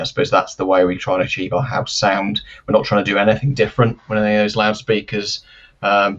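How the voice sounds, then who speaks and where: A man giving careful, explanatory interview answers as a company expert, with measured and hesitant phrasing, over lo-fi live-stream audio. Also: sits low. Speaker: loudspeaker company representative, interview guest.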